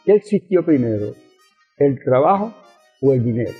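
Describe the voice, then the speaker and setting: Older Venezuelan man, husky, phone-like sound. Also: mid-range voice, very animated, normal speed. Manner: didactic, asks rhetorical questions and repeats key phrases. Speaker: interviewed communist politician and professor. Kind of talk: conversational political explanation in an interview. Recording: thin phone-like radio sound with music.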